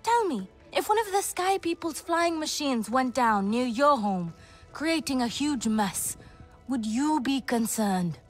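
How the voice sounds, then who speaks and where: Challenging, urgent female alien, game drama, high animated voice. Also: a little slow, soft background music. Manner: pressing, rhetorical, urgent. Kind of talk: video game cutscene acting.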